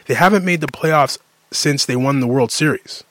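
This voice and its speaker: Canadian male, late 30s, sports talk host. Emphatic, fed-up, conversational, repeats himself for punch. Average pitch, dry sound. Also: moderate pace, fairly bright tone, no echo. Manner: exasperated, emphatic, repeats phrases for effect. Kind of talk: casual sports rant monologue.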